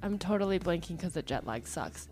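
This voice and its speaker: A woman hosting a podcast in a casual, chatty way, her voice hoarse and partly lost after travel, with many fillers. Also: fairly low pitch, expressive, brisk, a little mellow, soft background music. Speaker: podcast host.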